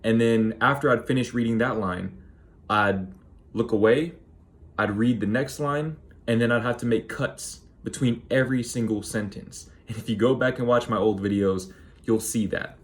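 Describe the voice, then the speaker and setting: A man in his twenties with a naturally monotone voice, a YouTuber giving motivational advice straight to camera and slowing down to stress key words. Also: medium pitch.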